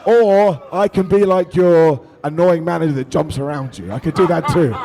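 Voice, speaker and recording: A British man hosting a live wrestling review show, jokey and loud, hyping the audience, and a bit hoarse from losing his voice. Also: a little high, moderate pace. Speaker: host. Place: large hotel event venue. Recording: in front of a noisy live crowd.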